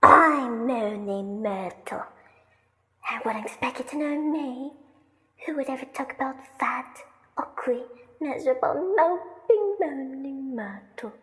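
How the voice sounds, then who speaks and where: A woman's voice: high voice, animated, slow pace, a bit bright, big-room sound, full character voice. A woman playing the miserable, self-pitying ghost of a young girl in an audio drama, whining and moping.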